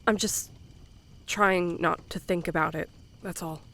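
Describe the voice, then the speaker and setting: A woman's voice: fairly low pitch, fairly even intonation, a little slow, fairly bright tone. A woman playing a dry, guarded young camp counselor in an audio drama. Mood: subdued.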